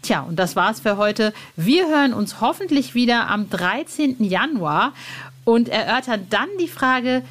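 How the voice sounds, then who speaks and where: A woman's voice: on the high side, animated, a little slow. A woman presenting as a podcast host, in a casual style with announcements.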